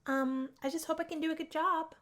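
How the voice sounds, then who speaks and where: A woman's voice: very high-pitched, fairly even intonation, fairly quick, fairly bright tone, voice pushed up. A woman voicing a shy, bookish young female student in a visual novel, speaking hesitantly and self-consciously. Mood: hesitant, embarrassed.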